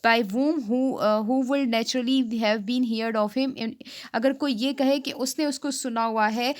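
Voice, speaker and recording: A Pakistani woman giving an informal explanatory lecture, halting and repetitive, switching into English for technical terms. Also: fairly high pitch. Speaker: law lecturer for exam aspirants.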